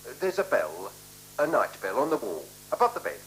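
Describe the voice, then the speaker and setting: An actor playing a nervous, deferential night porter in a radio play, hesitant, stammering and over-polite. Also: male voice, medium pitch, wildly swooping pitch, fairly quick, low-quality recording. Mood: awkwardly obliging. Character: nervous, deferential male night porter showing guests a room. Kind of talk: 1970s radio play acting. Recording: hissy 1976 radio broadcast recording.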